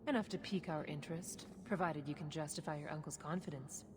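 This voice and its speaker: An actress playing a shrewd, composed smuggler boss in a video game, speaking in a measured, wry, businesslike way. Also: female voice, low-pitched, background noise, close to an everyday voice. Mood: cool, appraising. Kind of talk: video game dialogue acting. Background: music.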